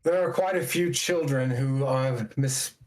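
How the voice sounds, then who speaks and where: Man playing a stern, slow-speaking camp guardian woman. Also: medium pitch, a little mellow, natural voice. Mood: brisk, businesslike. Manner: brusque, questioning, formal. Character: stern, impatient female camp guardian challenging strangers.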